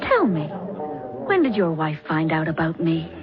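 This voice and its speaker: An actress playing a scorned young aspiring playwright with a sharp, cutting way of talking, in a 1970s radio drama. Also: female voice, deep voice, sing-song, moderate pace. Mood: bitter. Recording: muffled radio drama with music.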